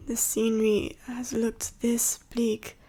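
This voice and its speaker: A woman plays a dying she-dragon, the last of her bloodline, in an ASMR roleplay. Her voice is fairly high but husky and whispery, with a creaky edge. She speaks formally and gently, weak and short of breath, full of sorrow. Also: little pitch movement, exaggerated voice. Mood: wistful, weary. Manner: slow, formal, archaic phrasing with heavy pauses.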